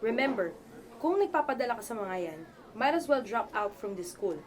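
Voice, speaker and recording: A woman's voice: fairly high pitch, big pitch swings, normal speed. A woman with a Filipino accent acting as a stern, scolding college professor in a radio drama.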